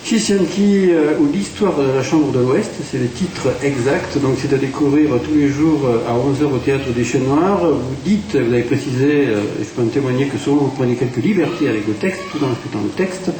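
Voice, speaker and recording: A man from France hosting a radio interview, talking in a relaxed, chatty way. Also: mid-range voice, a little fast, hardly any pauses. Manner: conversational, chatty, trailing tags. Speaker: radio host. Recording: distant, echoey room with faint hiss.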